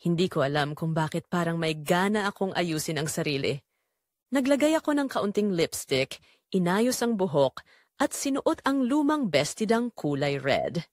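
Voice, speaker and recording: A woman's voice: on the low side, big pitch swings, moderate pace, darkish tone, no echo, clean studio sound. A woman playing a lonely middle-aged widowed mother who tells her secretly yearning story in an audio drama. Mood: quietly yearning. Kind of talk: first-person dramatic audio story narration.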